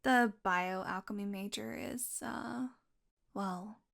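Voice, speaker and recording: A woman's voice: mid-range voice, takes it slowly, vocal fry, a bit bright. A woman acting a playful, sardonic, curious young vampire in an intimate audio roleplay. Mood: earnest, casual. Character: teasing, curious young female vampire doing experiments.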